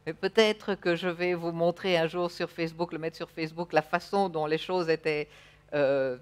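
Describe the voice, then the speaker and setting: A woman's voice: on the low side, steady tone, normal speed, smooth. A woman in her thirties interpreting a sermon live, feeling for her words at times. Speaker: church interpreter. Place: church stage, indoors.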